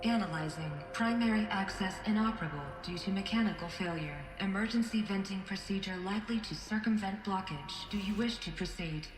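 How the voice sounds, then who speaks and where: A woman's voice: medium pitch, fairly quick, few pauses, close to an everyday voice. Woman acting an echoey, impersonal computer. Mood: impersonal, procedural. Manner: flat, formal, procedural. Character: calm, impersonal female computer security system. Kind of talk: scripted video game machine announcements. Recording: echoey, with music behind. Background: game music.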